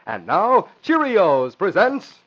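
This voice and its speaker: A man's voice: high voice, huge pitch swings, takes it slowly. A man announcing in emphatic, dramatic 1940s radio style, reading scripted narration and ads. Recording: muffled old radio, faint hiss.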